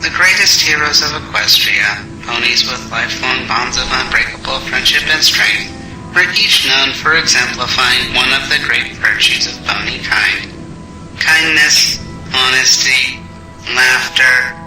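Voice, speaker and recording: A man's voice: medium pitch, unhurried. An actor playing a mysterious male voice that speaks through a small hovering robot, earnest and a bit hesitant, in an audio drama. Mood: lecturing. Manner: earnest, lecturing, hesitant at times. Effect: transmitted through a small robot speaker. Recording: roomy, distant, music behind.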